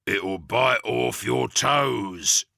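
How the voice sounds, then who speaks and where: A man doing a gravelly, gloating old husband. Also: mid-range voice, extremely slow, pushed and bright, no echo, clean studio sound, pitched above the performer's own voice, full character voice. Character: nasty scheming old man, cruel husband.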